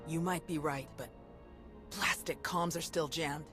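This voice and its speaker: An actress playing an earnest, troubled young Jedi in a video game, intense and impatient. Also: female voice, low-pitched, fairly even intonation, a little slow, a bit bright. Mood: worried. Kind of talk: video game dialogue acting. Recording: music behind the voice.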